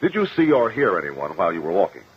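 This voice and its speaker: An actor playing a calm, methodical lawyer with a formal, probing manner in a 1950 radio courtroom drama. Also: male voice, sits low, fast, husky, full character voice. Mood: formal, measured. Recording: muffled, hissy old radio recording.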